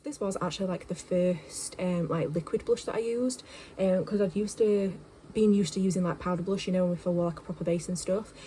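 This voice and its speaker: Chatty young Yorkshire woman, low mellow voice. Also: fairly quick, husky. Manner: rambling, filler-heavy, self-deprecating. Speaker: vlogger. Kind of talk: casual chatty vlog. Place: home, kitchen then bedroom. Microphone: phone camera.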